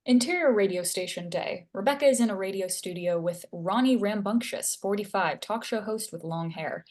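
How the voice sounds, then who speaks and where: A woman's voice: medium pitch, normal speed, a little mellow. A woman reading stage directions as scripted narration.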